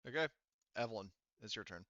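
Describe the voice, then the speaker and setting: A man's voice: fairly low pitch, expressive, fairly quick, bright, forceful tone, no echo, muffled recording. A man working as game master, improvising narration and rulings for a tabletop roleplaying game in a casual, profane way.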